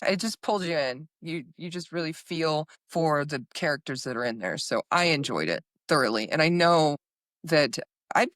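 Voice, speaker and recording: A woman's voice: deep voice, a bit bright, no room sound, clean studio sound. A woman hosting a podcast, talking casually and conversationally with her guest. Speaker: podcast host.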